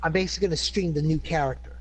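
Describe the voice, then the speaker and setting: A man with a fairly high voice chats casually with friends, rambling and restarting his sentences. His tone is relaxed and conversational. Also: racing, slight background noise, low-quality recording. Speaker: player. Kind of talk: casual chat.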